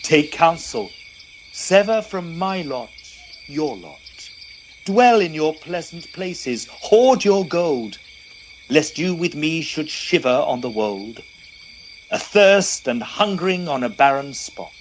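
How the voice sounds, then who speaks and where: Higher-pitched man solemnly reciting poetry. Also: expressive, slow pace, a little hiss or hum. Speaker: poetry reader.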